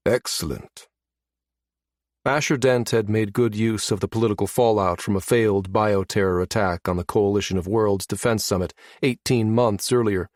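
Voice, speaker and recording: Male narrator, low-pitched voice, dry close sound, scripted science fiction audiobook reading. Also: darkish tone, dry recording.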